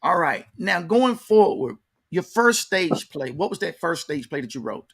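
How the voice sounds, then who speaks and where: A man's voice: fairly high pitch, sing-song, a little slow, creaky, fairly bright tone. An American man hosting a casual, conversational interview in a folksy way, often repeating his phrases. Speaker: interview host.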